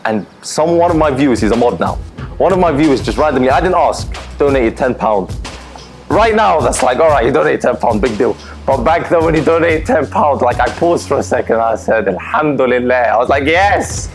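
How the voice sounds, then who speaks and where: A young man from Lebanon, telling his story as a streamer, candid and animated, full of fillers. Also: medium pitch, brisk. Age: young adult. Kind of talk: personal interview storytelling. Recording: music bed under voice.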